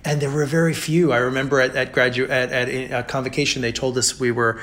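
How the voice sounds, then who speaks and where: A man in a relaxed interview, speaking at a middle pitch. He grew up in Brazil. He asks long, meandering questions and keeps saying "mm" while his guest talks. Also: fast. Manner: warm, affirming listener with many backchannels. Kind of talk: casual interview.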